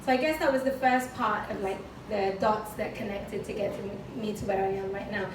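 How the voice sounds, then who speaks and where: A woman's voice: medium pitch, fairly even intonation, normal speed. A woman with a British accent giving a candid personal talk with slides, chatty and full of fillers. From United Kingdom. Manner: chatty and candid, frequent fillers, light laughs. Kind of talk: conference talk, personal story. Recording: echoey room, distant mic, background noise.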